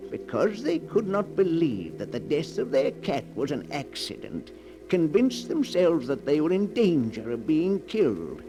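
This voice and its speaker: An actor playing a sinister, gleeful keeper of a book of dark tales, theatrically, in 1940s radio horror style. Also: male voice, fairly high pitch, poor audio.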